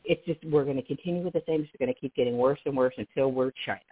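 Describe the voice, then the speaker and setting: Excitable woman guest on a phone line. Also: extremely low pitch, racing, breathy edge. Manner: restarts, excitable and emphatic. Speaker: guest activist. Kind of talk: casual political talk. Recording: phone-like narrow band.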